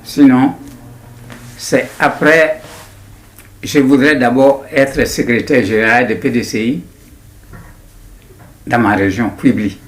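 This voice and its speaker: A man in his nineties with an Ivorian accent, recounting his memories in an interview in a deliberate, halting way with repetitions. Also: medium pitch, steady tone. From Ivory Coast. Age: 90s. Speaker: interviewee, writer. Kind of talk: personal recollection in an interview.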